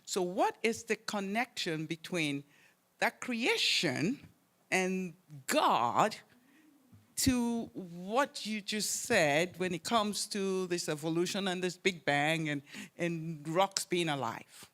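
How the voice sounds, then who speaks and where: A deep-voiced audience member asks a question from the floor. They speak slowly and haltingly, restarting and repeating words as they search for them. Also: animated, fairly bright tone.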